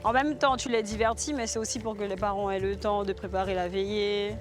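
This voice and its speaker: A woman's voice: mid-range voice, fairly even intonation, fairly quick. A woman interviewing in a casual, slangy way, reacting often as she listens. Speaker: reporter, interviewer. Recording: music behind the voice. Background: background music.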